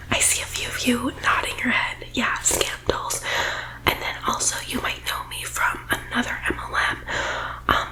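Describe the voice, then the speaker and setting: A woman doing a soft-spoken, whispered ASMR comedy roleplay as a pushy, self-important MLM boss, with condescending, salesy patter. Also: high voice, poor audio.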